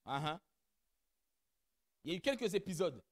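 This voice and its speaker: Animated high-pitched preaching pastor. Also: male voice, normal speed. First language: not French; has another mother tongue. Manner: animated preaching, rising to loud emphatic peaks, addresses the congregation directly. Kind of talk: sermon. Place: church.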